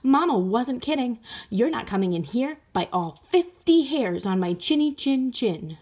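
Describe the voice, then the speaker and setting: Lady doing a little girl puppy in a kids story, scared but plucky, highish n animated. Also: measured pace. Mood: startled, defiant. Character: little female puppies, young sisters, plucky but scared. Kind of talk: children's storybook read-aloud.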